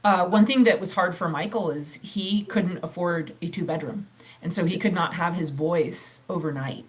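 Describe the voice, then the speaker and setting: A woman hosting a podcast interview, speaking in an encouraging and measured way as she asks questions. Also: on the low side. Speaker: podcast host. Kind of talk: interview questions and casual chat.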